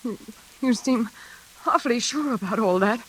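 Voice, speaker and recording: A woman playing a nervous, frightened elevator passenger in a classic radio suspense drama, speaking in halting, pleading phrases. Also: a little high, breathy edge. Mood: anxious. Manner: halting, anxious, pleading, repeating herself. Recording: hissy, noisy old radio recording.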